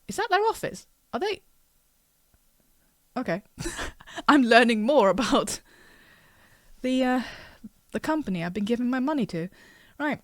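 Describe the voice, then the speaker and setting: A woman's voice: on the high side, no room sound. Non-native english lady reading stuff off a site, trips on words, chatty side comments, dead dry sound. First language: not English. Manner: stumbles over words while reading, chatty and frank. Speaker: artist and video creator. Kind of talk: reading a web page aloud with casual asides.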